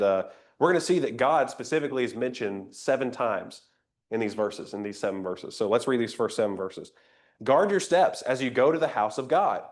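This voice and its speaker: A man teaching an interactive Bible class, a little nasal from a cold, conversational and asking the group questions. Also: medium pitch. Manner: conversational, invites comments, rhetorical questions.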